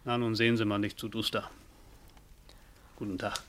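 An actor playing a hesitant, matter-of-fact courier in a German radio play, muttering in a politely official manner. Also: male voice, lowish voice, level tone, moderate pace, background noise, natural voice. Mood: puzzled.

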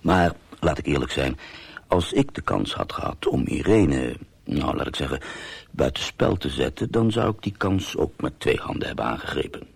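A man's voice: rumbling low, very animated, normal speed, no room sound, full character voice. A man playing a scheming, sardonic husband who narrates a radio drama with dry irony and mock affection. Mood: sardonic, confiding. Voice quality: gravelly, husky.

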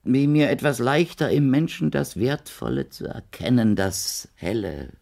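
Husky, swooping old blind dreamer. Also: male voice, mid-range voice, very animated, measured pace, darkish tone, full character voice. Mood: urgent, pleading. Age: older. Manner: flowery, poetic, persuasive. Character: gentle, insistent old blind dreamer seeking companions. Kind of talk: German radio play acting.

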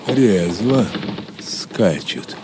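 Slow, low-voiced grumbling swineherd. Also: male voice, big pitch swings, background noise, exaggerated voice. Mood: wary. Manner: grumbling, earthy. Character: Gurth, gruff, grumbling male swineherd serf. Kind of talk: audio drama acting.

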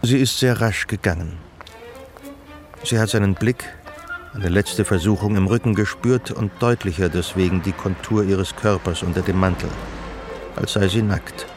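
A man acting as the measured, reflective narrator of a literary radio drama about love. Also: deep voice, a little mellow, natural voice. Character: omniscient male narrator of a literary love story, observant. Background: music.

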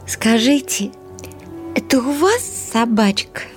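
An actress playing a sweet, confused elderly wife in hospital, with gleeful, childish, slightly babyish speech in a radio drama. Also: female voice, on the high side, very animated, takes it slowly, faint music behind. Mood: friendly, eager.

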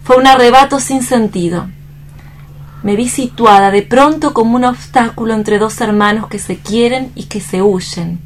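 A woman's voice: on the high side, moderate pace, slight background noise, close to an everyday voice. An actress playing a distraught but resolute young woman in a radio drama, speaking earnestly and solemnly. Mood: sorrowful. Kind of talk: dramatic staged radio reading.

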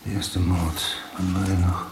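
An actor playing an obsessive, brooding police detective in a German radio drama, speaking in a clipped mutter that drifts toward a whisper. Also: male voice, sits low, little pitch movement, a little slow. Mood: obsessive, analytical. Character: obsessive, brooding male police detective hunting a serial killer. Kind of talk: German radio drama acting, interior monologue. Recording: roomy, faint hiss.

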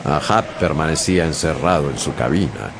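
A man's voice: extremely low pitch, fairly quick, noisy background. An actor playing a young sailor who narrates his own sea adventure in a dramatized radio story. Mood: intrigued, suspenseful. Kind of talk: dramatized first-person storytelling. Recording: music bed behind narration.

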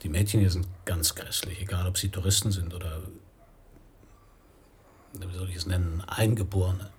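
An actor playing a frank, easygoing Danish painter in a German radio play, talking in a blunt, matter-of-fact way. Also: male voice, low-pitched, steady tone, moderate pace, darkish tone, a little hiss or hum, close to an everyday voice. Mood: blunt, insinuating. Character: candid Danish painter living simply in Tunisia, frank, easygoing. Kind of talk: German radio play acting, intimate dialogue.

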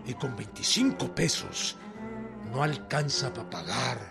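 An actor playing a defiant peasant leader in a radio drama, emphatic and insistent. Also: male voice, mid-range voice, big pitch swings, measured pace, vocal fry, music in the background. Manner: emphatic, insistent, repeats himself. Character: defiant peasant leader rallying farmers over their land.

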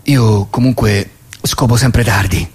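A man's voice: fairly low pitch, slow pace, slightly rough, pitched below the performer's own voice, heavily acted. A man playing an awkward, accommodating boyfriend in a comic phone dialogue, hesitant, laid-back and repeating himself. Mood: casual, sheepish.

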